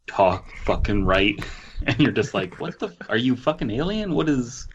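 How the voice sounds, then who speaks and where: A man's voice: medium pitch, very animated, moderate pace, husky, muffled recording. A man co-hosting a podcast, chatting casually and reading stories aloud, with crude, profane humor. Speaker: podcast co-host.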